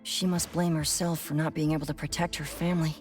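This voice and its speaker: A woman's voice: sits low, level tone, a little fast, darkish tone, soft background music, close to an everyday voice. A woman voicing a composed, sympathetic companion character in a video game. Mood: concerned.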